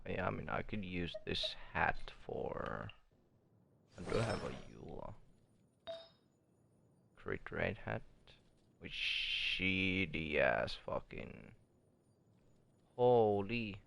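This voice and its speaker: A man's voice: sits low, big pitch swings, very slow, gravelly, pauses often, background noise. A man chatting casually as he streams a game, muttering in a laid-back way and swearing often. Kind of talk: casual gameplay stream chat.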